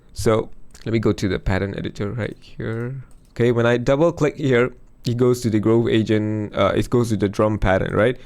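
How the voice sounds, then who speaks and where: A man losing his voice, chatting casually through a music software demo over a screen recording. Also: medium pitch, clean tone. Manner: chatty, thinks aloud with fillers. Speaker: music production youtuber.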